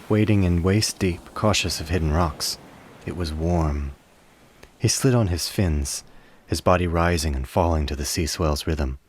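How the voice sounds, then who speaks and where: A man narrating a radio play in a measured storytelling manner. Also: low-pitched, slight background noise.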